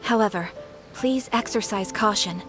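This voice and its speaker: A woman playing a polite, efficient guild receptionist in a video game, speaking in a formal, measured way. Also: medium pitch, lightly acted. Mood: composed. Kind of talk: video game quest dialogue. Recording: music behind the voice.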